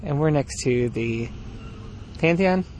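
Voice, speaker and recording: A man's voice: on the high side, animated, slow pace, a little mellow, a little hiss or hum. Joking man co-hosting outdoors. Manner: joking, laughs often. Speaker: podcast co-host. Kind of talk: casual chat. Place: outdoors in a yard on a swing.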